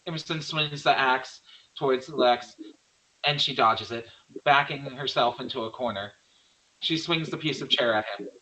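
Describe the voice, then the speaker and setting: A man's voice: medium pitch, normal speed, pushed and bright, low-quality recording. A man reading the stage directions of a horror screenplay as scripted narration.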